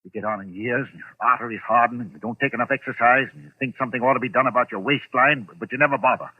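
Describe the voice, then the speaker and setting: A man's voice: medium pitch, rapid-fire, slightly rough, close to an everyday voice. An actor playing a pompous, snobbish middle-aged businessman with a haunted streak, clipped and imperious, in old-time radio drama style. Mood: uneasy. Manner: imperious, clipped, self-important. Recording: noisy, tinny vintage radio recording.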